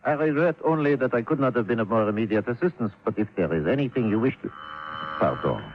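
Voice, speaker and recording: An actor playing a courteous French police inspector with a French accent, formal and precise, in old-time radio drama style. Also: male voice, medium pitch, animated, quick pace, noisy background, natural voice. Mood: formal, measured. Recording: muffled old radio recording.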